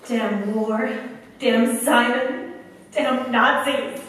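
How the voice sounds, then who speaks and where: A woman's voice: fairly high pitch, fairly even intonation, drawn-out words, a bit bright, far from the mic, slight background noise. A woman playing a gutsy, hot-tempered wartime heroine in a staged radio play, recorded from afar in an echoey hall. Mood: frustrated. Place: large hall, live stage performance.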